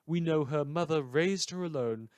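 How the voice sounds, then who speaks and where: A man playing a gleeful, sardonic villain who hosts a radio news show, delivering a scripted monologue with dramatic pauses and sarcasm. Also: fairly high pitch, a little mellow, clear, resonant tone, dry recording, close to an everyday voice. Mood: theatrical, knowing.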